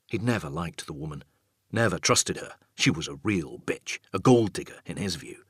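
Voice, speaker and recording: Male narrator for dark thriller audiobook: low, raspy tone, measured suspense, dry recording. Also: animated, no echo.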